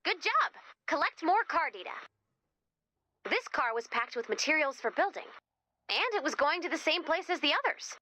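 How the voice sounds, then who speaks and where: A woman playing an eager, curious young researcher who guides players in a video game, chatty and expressive as she thinks aloud. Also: sits high, moderate pace, exaggerated voice. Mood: encouraging. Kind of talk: scripted video game dialogue.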